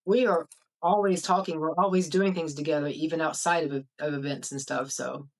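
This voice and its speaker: A woman's voice: low-pitched, steady tone, brisk. A woman in casual podcast conversation, plain-spoken and agreeable.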